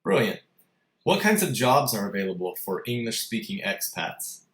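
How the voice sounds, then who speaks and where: A man's voice: on the low side, sing-song, moderate pace, a bit bright. A man hosting an interview, putting conversational questions to a guest. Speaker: interviewer, host. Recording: roomy, echoing room sound.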